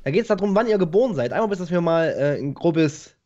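A man's voice: on the high side, lively intonation, quick pace, mellow. A man in his thirties hosting a live stream in a chatty, self-mocking way, talking straight to the viewers over a headset. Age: 30s. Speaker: host. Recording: dull lo-fi call audio.

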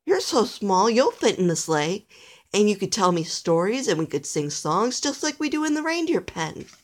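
A woman voicing a kindly old male elf in a Christmas storybook, with a hearty, gently coaxing manner. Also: mid-range voice, big pitch swings, moderate pace. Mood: warm, inviting.

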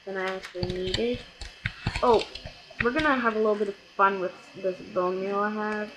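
A let's play gamer giving rambling, self-correcting commentary on a block-building game, with sudden excited outbursts, recorded at a home computer. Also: medium pitch, normal speed, background noise. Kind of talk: casual gameplay commentary.

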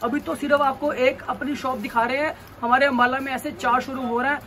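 A young man, the owner of a clothing shop, making a sales pitch and insistently repeating reassurances to the camera inside his large store. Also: level tone, fairly quick, sharp tone, slight background noise.

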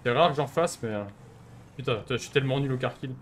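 A man's voice: mid-range voice, fast talker, slight background noise. A young man streaming a role-play game on a headset, swearing and mocking other players in casual commentary.

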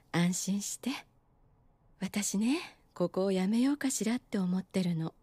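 Anime nurse: grown woman, gentle and coy, breathy edge, expressive inflection, dry sound. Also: medium pitch, slow, no room sound. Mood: wistful, resigned. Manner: gentle, coy, feminine phrasing. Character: composed adult nurse, softly teasing, wistful. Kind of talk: anime drama dialogue.

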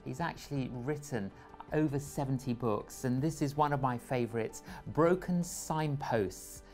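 A man's voice: mid-range voice, unhurried, soft background music. Middle-aged man hosting, encouraging tone. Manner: warm, encouraging, upbeat. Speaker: host. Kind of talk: interview hosting and sign-off. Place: TV studio set, indoors.

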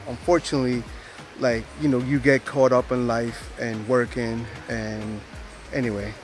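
A man in his forties, raised in the United States, talking casually to a handheld camera outdoors as a travel vlogger, full of fillers and restarts. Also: medium pitch. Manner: rambling, filler-heavy, friendly aside-style talk. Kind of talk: casual vlog narration to camera. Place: outdoors at a rainforest park.

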